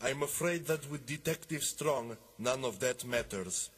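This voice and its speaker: A man's voice: mid-range voice, unhurried, husky. A man playing a dry, polite, long-suffering sidekick to a detective in an old-style radio drama. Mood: dry, resigned. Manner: dry, exasperated. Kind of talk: 1950s radio drama acting. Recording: loud music behind the voice.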